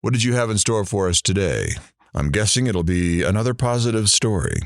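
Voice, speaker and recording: A man as a wry radio host, deep voice, big pitch swings, mock news delivery, close dry sound. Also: animated, a little fast, no echo. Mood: wry, friendly. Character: self-deprecating, dry-witted male morning radio host.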